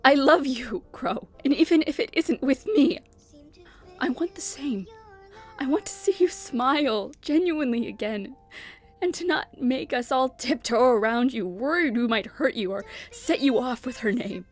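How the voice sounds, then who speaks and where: A woman's voice: sits high, expressive, a little slow, soft background music. A woman playing an earnest, anguished young heiress in love, pleading emotionally in a fan comic dub. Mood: impassioned pleading.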